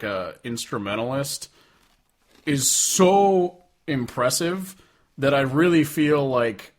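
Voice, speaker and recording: A man talking casually and informally as a podcast co-host, giving firm opinions on music with some swearing. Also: medium pitch. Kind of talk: casual chat about country music.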